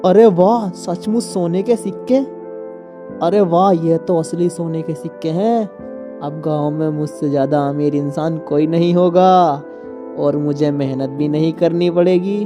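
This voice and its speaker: A woman's voice: low-pitched, steady tone, a little slow. A woman voicing a lazy, greedy young village man in a cartoon, mocking hard work. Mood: greedy glee. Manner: mocking, gleeful. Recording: cartoon dub with music behind.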